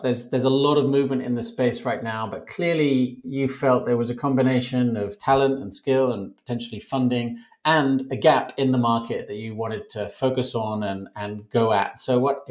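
A man hosting a podcast, reading a measured, scripted introduction and then asking his guest questions. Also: mid-range voice, darkish tone, roomy sound. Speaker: podcast host.

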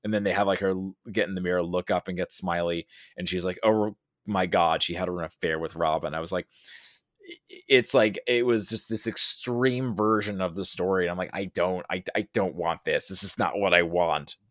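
Low-voiced man chatting casually, close dry sound. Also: steady tone, normal speed, no echo. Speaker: podcast guest. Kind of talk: casual pop-culture discussion.

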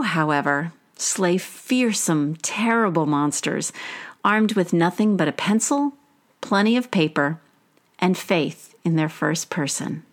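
A woman reading a personal essay aloud in measured, deliberate literary phrasing. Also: fairly low pitch. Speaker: author and teacher.

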